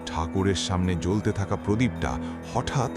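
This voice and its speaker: A man's voice: sits low, moderate pace. A man narrating a scripted horror story in a dramatic style. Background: very loud background music.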